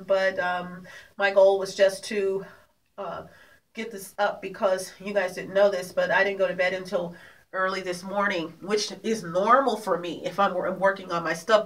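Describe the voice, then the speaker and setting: A woman's voice: mid-range voice, fairly bright tone, far from the mic, low-quality recording. A woman talks casually to her viewers from her apartment, her voice nasal and congested. She halts, restarts and repeats words as she goes. She sits a little back from the camera mic, so the room comes through. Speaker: vlogger. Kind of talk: rambling casual vlog.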